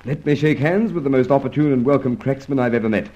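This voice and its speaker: An actor playing a weary, gruff but good-humoured police inspector in an old-time radio drama, with dry, formal wording. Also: male voice, mid-range voice, fast, darkish tone, close to an everyday voice. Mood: wry relief. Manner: dry, wry, formally worded. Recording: dull, muffled old radio recording.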